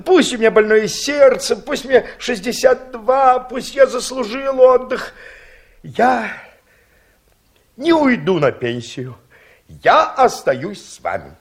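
An actor playing a feisty, boastful, bossy old engineer of sixty-two in an audio play, speaking emphatically. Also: male voice, extremely high pitch, expressive, takes it slowly, full character voice. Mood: proud, stirring. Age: older. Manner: emphatic, domineering, theatrical. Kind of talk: Soviet audio play acting.

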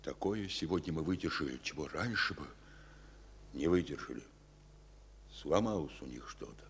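An actor playing a gruff but fatherly Soviet general in a war radio drama, speaking haltingly and with emotion. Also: extremely low pitch, lively intonation, fairly bright tone, big-room sound, a little hiss or hum, heavily acted. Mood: quiet, fatherly.

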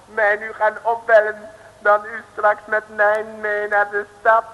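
An actor playing an excitable foreign official who speaks broken Dutch and gushes with praise, in a 1950s radio comedy. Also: male voice, sits high, a little slow, dull audio. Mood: gushing. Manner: broken grammar, gushing praise. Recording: old radio recording, long reverb.

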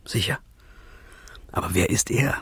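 A man's voice: mid-range voice, unhurried, a bit bright, husky. An actor playing a blunt, grieving man in an audio drama, speaking in a laconic, detached way, with music playing underneath. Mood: evasive. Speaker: first-person narrator and lead character.

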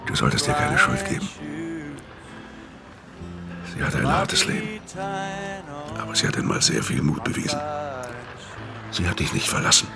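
An actor playing a weary, aging ex-killer in a radio drama, terse and grave, with a very deep gravelly voice. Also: male voice, heavily acted. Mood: grave, consoling. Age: older. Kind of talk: radio drama dialogue and first-person narration.

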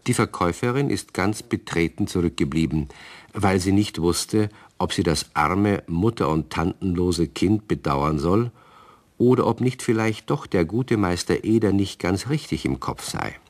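Deep-voiced male radio play narrator. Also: moderate pace. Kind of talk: scripted storybook narration.

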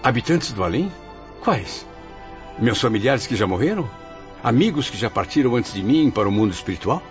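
A man's voice: medium pitch, very animated, moderate pace, natural voice. Guy playing a plaintive brazilian nobleman, very up and down, formal radio drama acting, muffled w music behind. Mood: eager, moved. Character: emotional, courteous, bewildered male viscount, newly dead spirit.

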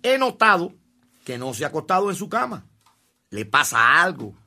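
A man's voice: on the high side, normal speed, full character voice. An actor playing a respectful, puzzled man who questions a priest with concern in a radio drama. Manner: animated, inquisitive.

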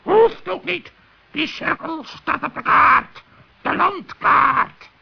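Stubborn officious gannet guy for a kids radio play, super gravelly squawk, muffled old recording. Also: sits high, slow, full character voice. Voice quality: squawking, very creaky. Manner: officious, unbending. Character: stubborn officious male gannet, border customs guard. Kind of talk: children's radio play acting.

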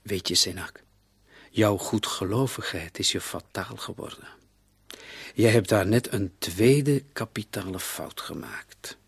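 An actor playing a shrewd, taunting police commissioner interrogating a suspect in a radio play. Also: male voice, lowish voice, unhurried, gravelly, slightly rough, dry recording, heavily acted. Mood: gloating. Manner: sardonic, taunting, with rhetorical tags.

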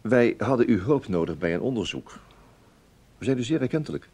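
An actor playing a tactful, dryly ironic intelligence officer in a Dutch radio play, speaking politely and a little hesitantly. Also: male voice, mid-range voice, animated, a little fast, dark tone, breathy edge. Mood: tactful, reluctant. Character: wry, tactful male intelligence officer breaking bad news. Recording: noisy old radio drama recording.

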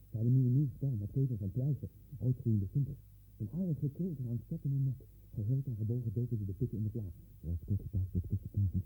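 An actor playing a tormented, paranoid man in a 1960s Dutch radio drama, husky, speaking in obsessive, repeated short phrases. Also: mid-range voice, dark tone, low-quality recording. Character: Len, tormented, paranoid man sinking into dwarf-haunted delusions.

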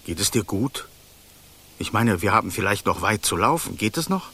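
Talking snake, ex-king: courtly radio-play delivery, creaky, very animated pitch. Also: heavily acted. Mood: concerned. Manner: theatrical, deliberate, courtly. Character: talking golden snake, former king, gallant and plaintive. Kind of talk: German radio play acting.

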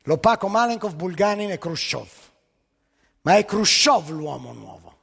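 A man with a raspy voice performing an emphatic, ironic theatrical monologue on stage, with asides to the audience. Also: sits high, big pitch swings, measured pace, a bit bright. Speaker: stage storyteller. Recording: live hall with long reverb.

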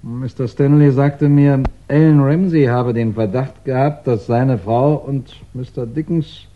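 Actor as a pensive, deliberate police chief, muffled recording. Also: male voice, mid-range voice, slight background noise, lightly acted. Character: thoughtful police chief, measured, doubting, patient man. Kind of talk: 1960s German radio play acting. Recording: dull 1960s radio recording.

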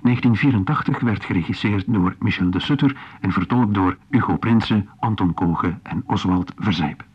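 A man's voice: fairly low pitch, moderate pace, hardly any pauses, a little hiss or hum. A man reading a scripted broadcast introduction as a radio announcer. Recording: muffled 1980s radio recording.

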